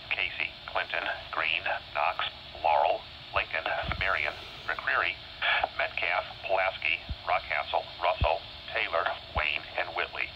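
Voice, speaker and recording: A man reading a formal scripted warning test announcement in a measured way, as a weather radio announcer. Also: low voice. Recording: muffled weather radio re-recorded in noisy room.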